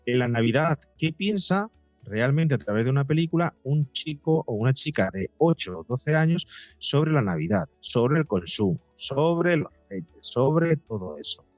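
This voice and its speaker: A man's voice: medium pitch, big pitch swings, moderate pace, dry recording, faint music behind. A middle-aged man with a Spain accent answering conversationally as a podcast guest, reflective and repeating phrases for emphasis.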